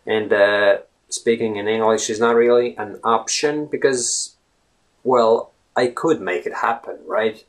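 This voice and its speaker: A man with a Latvian accent and a slightly low voice talks casually straight to the camera. He wanders off the subject as he goes and keeps tagging his points with a questioning "right?". He sounds like someone in his thirties. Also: a little slow, poor audio. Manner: rambling, keeps asking "right?". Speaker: language blogger.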